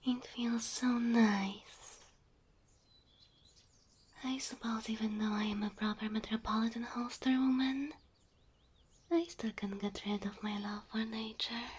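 High, soft, whispery cow girl girlfriend acted by a woman. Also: steady tone, slight background noise, natural voice. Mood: soft, curious, trusting. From Slavic country. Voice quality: breathy, often whispering. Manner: tender, slow, full of wonder. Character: gentle, affectionate, easily delighted cow girl girlfriend. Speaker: ASMR roleplay performer. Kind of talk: ASMR roleplay.

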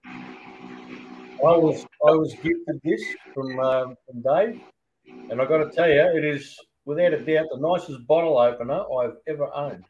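A man's voice: fairly high pitch, big pitch swings, a little slow, darkish tone. A middle-aged man chatting casually on a video call from a small workshop. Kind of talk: casual chat. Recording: dull, noisy workshop video-call audio.

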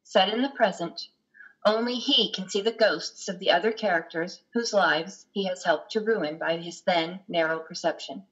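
A woman's voice: medium pitch, fairly bright tone, muffled recording. A middle-aged woman reading scripted narration and stage directions for a play over a video call. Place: lamp-lit home room, video call. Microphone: computer mic on video call.

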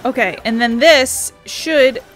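A woman's voice: sits high, slow pace, fairly bright tone. A woman hosting a gaming video with casual let's play commentary, sometimes reading text aloud. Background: video game music.

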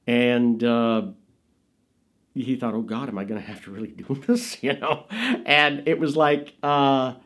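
A man's voice: medium pitch, measured pace, fairly bright tone. An American man in his fifties telling chatty anecdotes casually in an interview, as a raconteur. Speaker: interviewee, actor and filmmaker recalling his father. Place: small indoor studio with dark curtains.

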